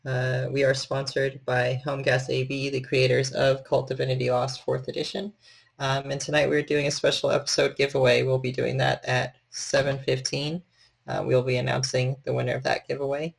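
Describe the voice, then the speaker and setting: A man's voice: medium pitch, little pitch movement, moderate pace, gravelly, breathy edge. A man chatting casually as the host and storyteller of a livestream. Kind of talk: casual livestream hosting chat.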